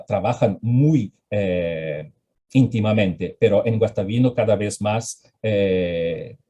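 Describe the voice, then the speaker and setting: A man giving an academic lecture on music as a guest lecturer over a video call, pausing often with hesitant fillers and reading out his points in a measured way. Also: on the low side, dark tone, husky. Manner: hesitant, frequent "eh" pauses between phrases.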